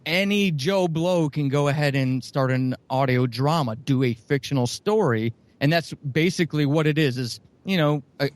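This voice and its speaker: A man's voice: fairly high pitch, moderate pace, hardly any pauses, dry recording. A man who writes and produces audio dramas, answering interview questions in a rambling way with lots of fillers.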